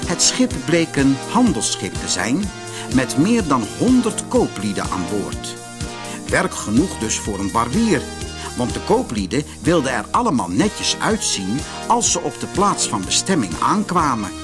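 A man with a Netherlands Dutch accent reads a scripted fairy tale as an expressive audiobook narrator. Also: mid-range voice, measured pace, over music. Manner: expressive storytelling.

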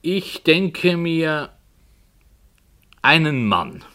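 Slow, brooding male storyteller. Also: on the high side, sing-song, exaggerated voice. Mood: brooding, musing. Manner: deliberate, halting, emphatic, hushed at times. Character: brooding writer haltingly telling a dark, strange story. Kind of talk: German radio play acting.

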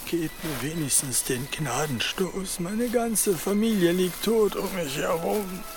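An actor playing a weak, despairing dying man with a breathy whisper in an audio drama. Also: high voice, animated, slow pace, full character voice. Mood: despairing plea. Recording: clear noise behind the voice.

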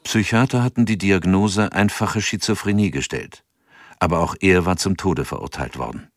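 A man narrating an audio drama from a script in a measured, sober manner. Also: low-pitched, no echo, clean studio sound.

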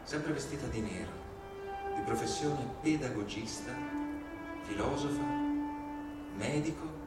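A man reading a scripted introduction on stage, solemn and deliberate, phrase by phrase. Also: medium pitch. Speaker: stage performer. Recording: echoey hall from far off, loud music. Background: loud instrumental music.

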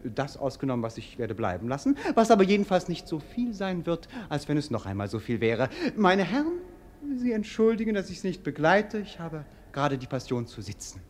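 Young prince, melancholic, ironic, haughty, higher-pitched, highly animated, theatrical radio drama. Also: male voice, brisk. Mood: airy mockery. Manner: ironic, verbose, swinging between languid and sharp. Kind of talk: theatrical German radio play acting.

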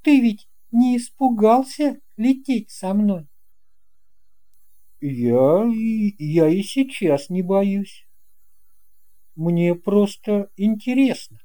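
An earnest young boy from an audio fairy tale, voiced by a narrator. He is curious and slightly cautious. The delivery is very soft-toned and slow, with plain, sincere phrasing. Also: medium pitch, expressive, voice pushed up.

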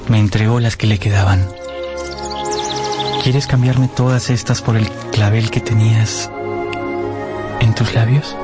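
Actor as low-voiced lovesick young man, music behind. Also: steady tone, unhurried, pauses often, lightly acted. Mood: wistful, narrating. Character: earnest lovesick young man narrating his romance. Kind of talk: radio drama narration and acting. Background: prominent music.

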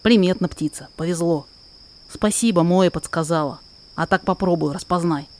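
A woman narrator reading a poetic story aloud in expressive, measured, dramatic verse. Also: on the low side.

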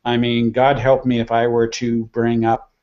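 A man speaking as a guest journalist on a roundtable, in a measured, self-deprecating way. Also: medium pitch, monotone, highs cut off. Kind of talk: casual roundtable chat.

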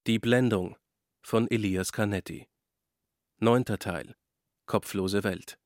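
A man reading scripted radio drama credits as an announcer, formal and measured. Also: lowish voice, fairly even intonation, darkish tone, dry recording, studio quality.

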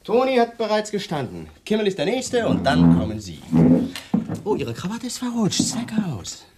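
An actor playing a menacing young police lieutenant in a radio drama, mockingly polite and domineering. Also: male voice, high-pitched, wildly swooping pitch, moderate pace, very noisy. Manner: taunting, theatrically polite, domineering. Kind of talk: 1980s German radio drama acting.

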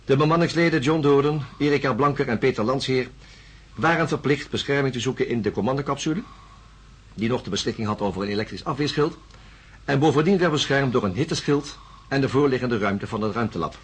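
An actor playing a measured, coaxing doctor in a radio drama, speaking in a deliberate, controlled way. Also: male voice, mid-range voice, breathy edge, lightly acted. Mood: businesslike. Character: measured, coaxing male doctor conducting hypnosis. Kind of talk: radio drama narration and dialogue.

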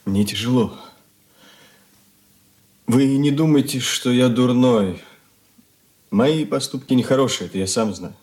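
A man's voice: mid-range voice, a little slow, long pauses, darkish tone, close to an everyday voice. Man acting troubled young son, bitter and ashamed. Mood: anguished. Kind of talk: Soviet radio play acting.

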